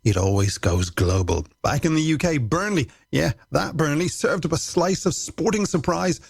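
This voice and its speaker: Irish man, radio host, playful scripted patter, higher-set voice, noticeable vocal fry. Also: lively intonation, moderate pace, no room sound, clean studio sound. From Ireland. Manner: wordy, alliterative, playful patter. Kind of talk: scripted late-night music radio presenting.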